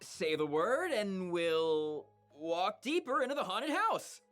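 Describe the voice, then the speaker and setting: An actor playing a dorky, considerate teenage boy villain in a visual novel, awkward and halting. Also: high voice, very animated, a bit bright, soft background music, exaggerated voice. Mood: teasing. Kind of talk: visual novel character acting.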